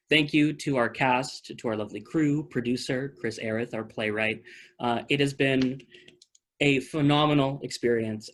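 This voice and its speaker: A man's voice: mid-range voice, fairly bright tone. A man hosting a post-show theater talkback over a video call, speaking conversationally and thinking aloud as he fields questions. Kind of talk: casual question-and-answer hosting.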